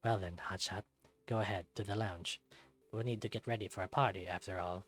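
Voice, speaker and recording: Man voicing a soft, low, guarded raccoon gentleman. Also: fairly even intonation, a little fast, no room sound, close to an everyday voice. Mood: suave, teasing. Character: suave, guarded male raccoon, teasing yet bitter.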